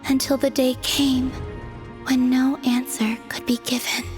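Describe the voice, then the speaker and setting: Slow, whispery, melancholy moon goddess. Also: female voice, high voice, little pitch movement. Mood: sorrowful, resigned. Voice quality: soft, breathy, whispery. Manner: slow, poetic, measured. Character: young female moon goddess, solemn, melancholy, enigmatic, detached. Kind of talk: English video game voice acting. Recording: game music behind the voice. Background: background music.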